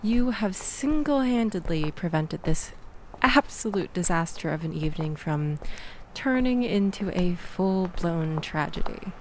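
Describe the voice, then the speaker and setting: Woman acting a slow-talking, chatty young woman. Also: fairly low pitch, lively intonation, a little mellow, slight background noise, rough recording. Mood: grateful, vulnerable. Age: young adult. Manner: chatty, sardonic, conversational with nervous laughs. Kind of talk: intimate audio roleplay acting, addressed to listener.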